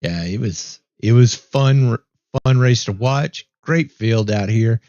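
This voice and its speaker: A man hosting a race broadcast in a casual chat, easygoing and upbeat, his voice going hoarse. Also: medium pitch, slow, a little mellow, dry recording. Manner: easygoing, upbeat, rambling with fillers. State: losing his voice, clearing throat. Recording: dry, dull lo-fi mic.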